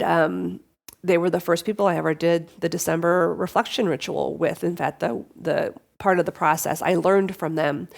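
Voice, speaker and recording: A middle-aged woman with a cold, sounding sniffly as she talks through a solo podcast. Her voice sits on the low side and has a gravelly edge. She speaks earnestly, often repeating or restarting words while addressing her listeners as "you all.". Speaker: podcast host. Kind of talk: reflective solo podcast talk.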